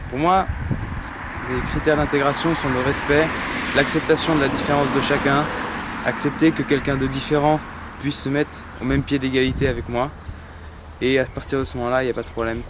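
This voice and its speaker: A man interviewed outdoors, giving his opinion in a measured, spoken way. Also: medium pitch. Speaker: interviewee, military pilot. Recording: noisy outdoor recording with traffic.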